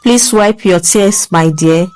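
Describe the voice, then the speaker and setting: Woman voicing a soft-spoken, soothing friend. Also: on the low side, slow, faint music behind. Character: gentle, caring female friend.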